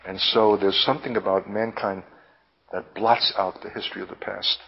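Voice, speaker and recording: Male preacher, lowish husky voice, deliberate and emphatic prophetic teaching with lofty vocabulary. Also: fairly even intonation. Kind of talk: impassioned prophetic sermon, teaching. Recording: dull, lo-fi sermon recording.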